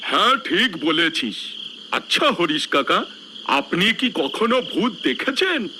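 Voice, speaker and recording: A man's voice: sits high, very animated, slow, background noise, full character voice. A man playing a young villager in a cartoon, a nosy friend who teases and prods. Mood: teasing, curious. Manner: teasing, prodding. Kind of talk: cartoon dialogue.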